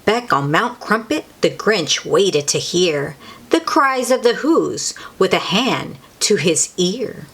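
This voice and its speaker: A woman's voice: on the low side, expressive, slow pace, a bit bright, a little hiss or hum. A woman reading a picture book aloud expressively to young children, adding exclamations and drawing words out for effect. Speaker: children's read-aloud host.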